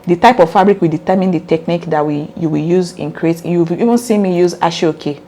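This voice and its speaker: A woman with a raspy voice hosting a casual step-by-step sewing tutorial, chatty and earnest as she talks straight to her viewers. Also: fairly low pitch, fairly quick, warm tone, slight background noise.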